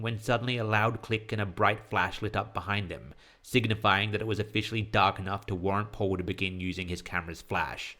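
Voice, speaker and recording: A man's voice: on the low side, a bit bright. A man reading a scripted horror story as its narrator.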